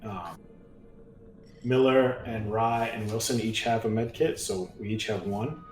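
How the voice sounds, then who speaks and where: Low-voiced man in a roomy echo. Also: measured pace, a little mellow. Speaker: player in a tabletop roleplaying game. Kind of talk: casual game chat. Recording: roomy echo, music behind.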